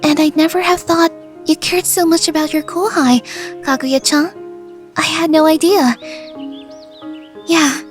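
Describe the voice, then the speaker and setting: A woman acts a naive, mild-mannered senior schoolgirl in a fan radio play. Her voice is high and thoughtful, with faint music underneath. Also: fairly even intonation, measured pace, long pauses. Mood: uncertain. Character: earnest, naive, popular older teenage schoolgirl. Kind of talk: anime fandub radio play.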